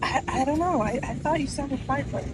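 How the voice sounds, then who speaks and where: A woman with a soft voice co-hosting a casual chat podcast, reacting with enthusiastic exclamations. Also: high voice, fairly quick. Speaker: podcast co-host. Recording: noisy background hiss.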